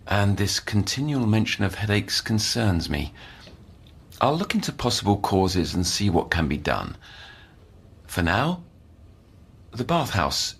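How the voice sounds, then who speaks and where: A man playing a grave psychiatric counsellor in an audio drama, speaking in a measured, clinical and formal manner. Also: fairly low pitch, gravelly, fairly bright tone, slightly rough, exaggerated voice. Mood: concerned. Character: grave, analytical adult male psychiatric counsellor.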